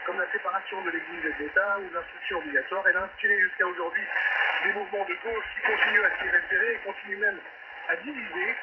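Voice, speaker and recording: A man's voice: high-pitched, fairly even intonation, fast talker. A man hosting a radio news debate, presenting and putting questions to guests. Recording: noisy muffled shortwave radio reception. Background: loud radio static and hiss.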